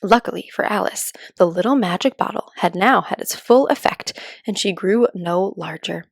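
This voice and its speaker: A woman storyteller giving a calm read-aloud narration for children. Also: mid-range voice, moderate pace, gravelly, slightly rough, no room sound, studio quality.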